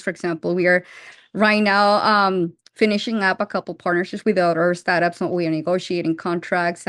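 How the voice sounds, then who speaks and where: A woman's voice: medium pitch, a bit bright, no room sound, clean studio sound. A woman in her forties with a Colombian accent, a startup founder answering interview questions with frequent restarts and fillers. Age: 40s.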